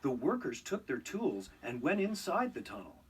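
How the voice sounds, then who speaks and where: A man narrating a children's read-along storybook in an expressive, scripted storytelling style. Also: medium pitch, moderate pace, husky, recorded from a distance.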